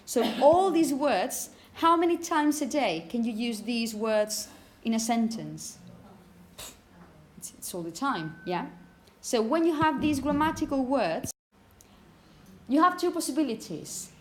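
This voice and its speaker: Higher-voiced woman teaching in an echoey room. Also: lively intonation, a little slow. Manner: explanatory, demonstrates words with emphasis, frequent check-in questions. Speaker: phonetics teacher. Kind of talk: classroom lecture to students. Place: classroom, indoors. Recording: echoey room, background noise.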